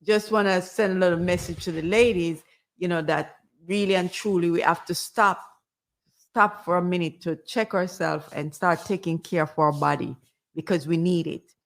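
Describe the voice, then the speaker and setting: A woman with a Jamaican accent, chatting casually as a guest and sharing health tips in a gentle, rambling way. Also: on the low side, fairly even intonation, normal speed. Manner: gentle, rambling, many fillers. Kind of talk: casual health advice chat.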